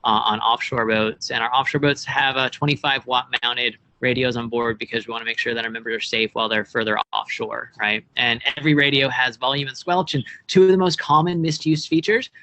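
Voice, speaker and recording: A man's voice: medium pitch, fairly even intonation, brisk, hardly any pauses, sharp tone, low-quality recording. A young American man giving casual, informal explanations as a guest expert, often checking in with tag questions. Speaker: boat club operations director, guest expert. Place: outdoors on a marina dock.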